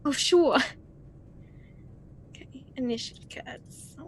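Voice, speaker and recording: A woman's voice: high-pitched, slow, background noise. A woman playing a tabletop roleplaying game, chatting casually and joking with the other players.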